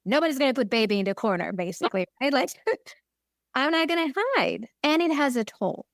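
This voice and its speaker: Direct Israeli woman, smooth voice. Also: sits high, expressive, fairly quick, no echo, clean studio sound. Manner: direct, candid, emphatic. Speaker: podcast co-host, therapist. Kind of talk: conversational podcast chat.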